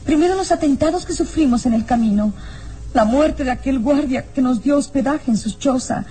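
A woman's voice: high-pitched, dark tone, lightly acted, rough recording. An actress playing an anxious, dutiful young noblewoman in a melodramatic radio drama, pleading and hesitant. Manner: breathy sighs, pleading, hesitant.

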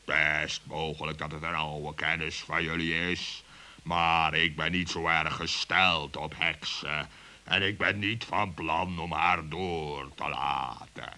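A man voicing a stern polar bear customs officer in a children's radio play, gruff, blunt and officious. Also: very deep voice, steady tone, slow pace, sharp tone, voice pushed down, full character voice.

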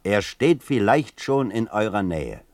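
Guy reciting literary stuff real slow and dramatic, solemn, super dry close sound. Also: fairly low pitch, very animated, darkish tone, dry recording. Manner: emphatic, solemn, rhetorical. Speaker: reader.

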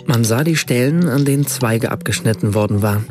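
A man's voice: medium pitch, fairly quick, over music, close to an everyday voice. An actor playing an observant writer, a man who recounts his memories precisely as radio play narration. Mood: measured recollection. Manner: precise, literary storytelling in reported speech. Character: German writer narrating his Italian summer, observant, measured.

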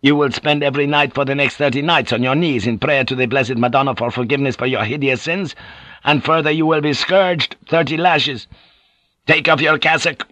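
A man's voice: medium pitch, fairly even intonation, a little fast, bright, forceful tone, no echo, voice pushed up, close to an everyday voice. A male narrator plays a zealous priest and stern superior from a dramatic audiobook. The voice is commanding and thunderous, lifted well above plain narration, condemning with fierce authority in a dry, close sound. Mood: stern condemnation. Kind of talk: dramatic audiobook character acting.

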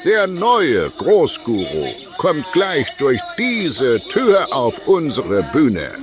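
A man's voice: a little high, huge pitch swings, extremely slow, full character voice. A man playing a pompous, easily flustered guru who addresses his followers in a declamatory, mock-solemn way in a comedic radio play. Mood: exasperated, announcing. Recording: loud crowd behind the voice.

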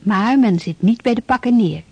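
An actress narrating a historical radio play, formal, measured and rhetorical. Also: female voice, fairly high pitch, mellow, slightly rough, no room sound, dull audio. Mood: stately. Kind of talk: scripted narration. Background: music.

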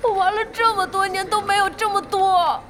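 Lively, fiery woman boss, high-pitched. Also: moderate pace, slight background noise. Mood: eager. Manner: exclamatory, expressive. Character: lively, fiery female internet cafe boss, a doting fan. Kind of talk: Chinese audio drama acting. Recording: music behind the voice.

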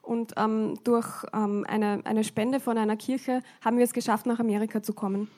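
A woman's voice: fairly high pitch, level tone, normal speed, a little mellow. A woman interpreting a church service live, phrase by phrase, with frequent hesitation fillers.

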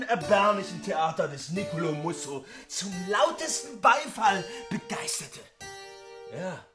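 A man's voice: high voice, pitched above the performer's own voice. A man voicing a proud male painter in a storybook, grand and declamatory. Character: proud, wondrous male painter revealing himself.

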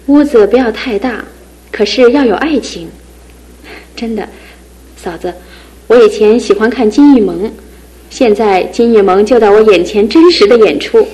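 A woman's voice: fairly high pitch, moderate pace, pauses often, close to an everyday voice, poor audio. An actress playing an outspoken young woman teacher in a radio drama, speaking frankly and with animation. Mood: cheerful. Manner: frank, animated, scolding then cheerful.

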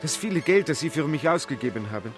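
A man's voice: on the high side, lively intonation, quick pace, music in the background, close to an everyday voice. An actor playing a distraught, guilt-ridden male manservant in a radio play.

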